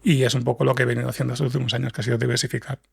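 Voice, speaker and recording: A man with an accent from Spain chats as a podcast guest in a loose, rambling way. His voice is gravelly and husky, and he talks quickly. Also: mid-range voice, little pitch movement, a little mellow, dry recording, clean studio sound. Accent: Spanish from Spain. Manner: rambling, conversational. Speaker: podcast guest, writer and director. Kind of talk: casual interview storytelling.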